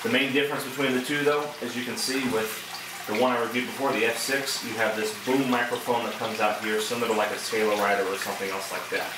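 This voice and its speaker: A man's voice: medium pitch, steady tone, fast talker. A man giving a casual product demonstration and review, explaining things step by step in a conversational way. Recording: echoey, distant mic, clear background noise.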